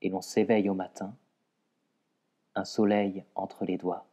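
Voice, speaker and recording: Slow, mellow man reciting poetry. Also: low voice, little pitch movement. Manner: measured, pausing between short phrases. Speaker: poetry reader.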